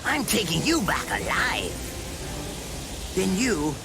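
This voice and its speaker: A man's voice: sits high, wildly swooping pitch, slow pace, creaky, music in the background, exaggerated voice. An actor voicing an eccentric, gleeful mad scientist villain in an anime game, theatrical and sneering as he savors his words. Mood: menacing glee. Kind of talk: English-dubbed anime game acting.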